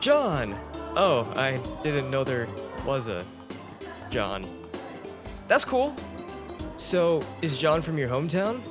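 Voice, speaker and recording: A man's voice: fairly high pitch, sing-song, slow, long pauses. Eager, awkward college guy, acted by a man. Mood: awkward, curious. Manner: chatty, awkward, joking. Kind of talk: comedic-dramatic comic dub acting. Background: music.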